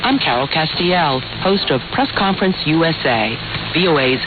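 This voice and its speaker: A woman's voice: deep voice, animated, moderate pace. An American woman hosting radio, reading a scripted promo for her program. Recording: muffled shortwave radio with loud music. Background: loud music.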